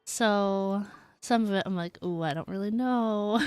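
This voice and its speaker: A woman's voice: mid-range voice, a little slow, a little mellow, smooth, no echo, faint music behind. A woman streaming a video game, chatting casually and reading the game text aloud. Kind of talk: casual gameplay commentary.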